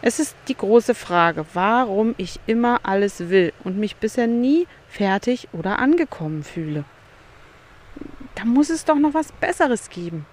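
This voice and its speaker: Woman podcaster thinking out loud from a beach chair by the sea, musing, asks herself stuff. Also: medium pitch, animated, a little slow. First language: German. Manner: musing, self-questioning, candid. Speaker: podcast host. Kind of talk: solo reflective podcast monologue.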